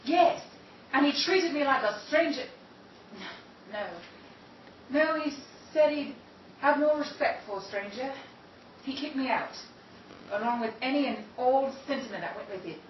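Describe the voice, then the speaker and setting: A woman playing an anguished, reluctant middle-aged daughter in an amateur radio play, pleading emphatically and haltingly. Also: high-pitched. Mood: anguished, bitter. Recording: echoey, noisy, dull, distant microphone.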